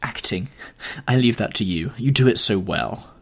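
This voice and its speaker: A man playing a cold, scornful young gentleman. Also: medium pitch, normal speed, slightly rough. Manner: bitter, disdainful.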